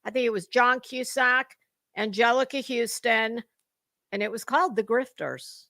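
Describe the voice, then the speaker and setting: A woman hosting casual, opinionated gossip commentary, rambling and halting, with emphatic points and some mild swearing. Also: a little high, pushed and bright, no echo, clean studio sound. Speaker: host of a gossip commentary livestream.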